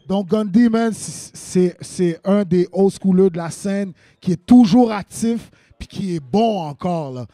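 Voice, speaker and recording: A man's voice: sits high, unhurried, few pauses, mellow, no echo, studio quality. A man with a Quebec French accent hosting a web TV interview, hyping up his guests. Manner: enthusiastic, hypes up guests. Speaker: web TV host.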